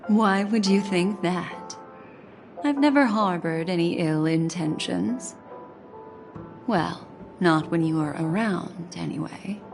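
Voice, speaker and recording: Slow, elegant, mysterious lady. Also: on the low side, vocal fry. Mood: coy. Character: mysterious elegant woman, composed, coy and courteous. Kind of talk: video game voice acting. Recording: long reverb, music behind.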